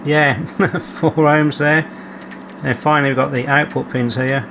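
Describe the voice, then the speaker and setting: A man's voice: fairly high pitch, fairly even intonation, unhurried, noisy background. A man hosting a repair video, methodically talking through his workshop fault-finding and reading out measurements as he goes. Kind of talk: calm narrated workshop repair. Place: home workshop.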